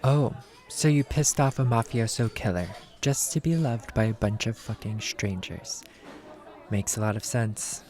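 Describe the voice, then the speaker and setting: A man's voice: fairly low pitch, a little slow, darkish tone, close to an everyday voice. Man playing a blunt, sarcastic bounty hunter. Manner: blunt, sarcastic, dismissive, foul-mouthed. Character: gruff, sarcastic male bounty hunter, ex-cop, short-tempered. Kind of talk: acted film script read.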